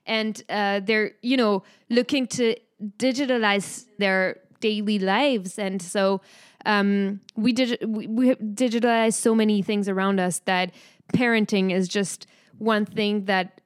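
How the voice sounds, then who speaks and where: A woman's voice: mid-range voice, fairly even intonation, measured pace, smooth. A young woman from France with a French accent, co-hosting a casual podcast chat, friendly, with frequent laughs and fillers. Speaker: podcast co-host.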